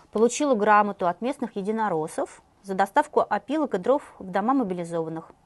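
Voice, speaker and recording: A woman co-hosts a news talk show, delivering her stories briskly and with a wry edge. She speaks fast. The sound is dry and close, with no room echo. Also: mid-range voice, expressive. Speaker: co-host, journalist. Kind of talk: casual satirical news chat.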